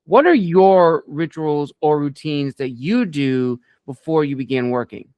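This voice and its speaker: Male interview host, clean resonant voice on the higher side, conversational delivery. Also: lively intonation, a little slow, clear, resonant tone, no room sound, dull audio.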